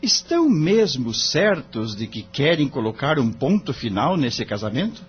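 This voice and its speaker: A man's voice: medium pitch, big pitch swings, a little slow, background noise. Man playing an earnest young novice lawyer, muffled audio. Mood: earnest, respectful. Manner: earnest, polite, tentative. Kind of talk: audio drama acting. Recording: dull lo-fi audio. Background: music.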